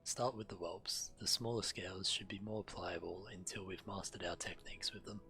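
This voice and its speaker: A man's voice: sits low, fairly even intonation, normal speed, pushed and bright. A man streaming a video game, reading quest text aloud over game music.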